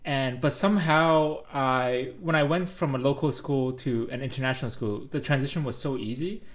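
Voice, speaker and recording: A man's voice: mid-range voice, normal speed. A young man from Hong Kong, a native Cantonese speaker, co-hosting a podcast in laid-back casual chat and explaining points patiently. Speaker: podcast co-host.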